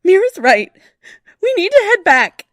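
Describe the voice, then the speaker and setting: Reproachful, pleading young woman played by an actress, high swooping voice, horror audio drama peacemaker. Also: very animated, unhurried, a bit bright, dry recording, studio quality, full character voice. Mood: hurt, reproachful.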